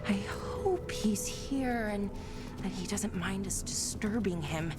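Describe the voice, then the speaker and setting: An actress playing an earnest, hesitant young female scholar in a fantasy game, polite and halting. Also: mid-range voice, lively intonation, loud music behind the voice. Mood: nervous hope.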